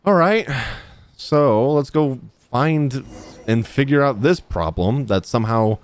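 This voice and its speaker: A man's voice: medium pitch, expressive, slow pace, clean tone. A young man giving casual live commentary as he streams a game, speaking into a close desk microphone. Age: young adult. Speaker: game streamer reacting to a story quest. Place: indoor streaming room. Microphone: desk microphone close to mouth. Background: game music.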